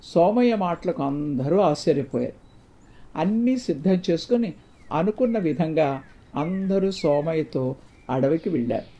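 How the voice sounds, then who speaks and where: Mellow man narrating, slightly high voice. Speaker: storyteller. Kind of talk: scripted moral-tale narration. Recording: dull, muffled lo-fi sound.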